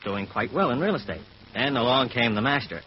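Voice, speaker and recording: A man's voice: medium pitch, expressive, fairly quick, a little hiss or hum, natural voice. Man playing a wisecracking cop, muffled radio sound. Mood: matter-of-fact. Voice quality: slangy tough-guy talk. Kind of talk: 1940s radio drama acting. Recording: muffled vintage radio recording.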